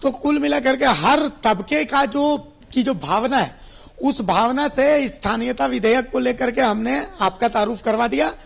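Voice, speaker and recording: A man's voice: extremely high pitch, unhurried, creaky. A man reporting live for the news and moderating guests, explaining things in a conversational, repetitive way.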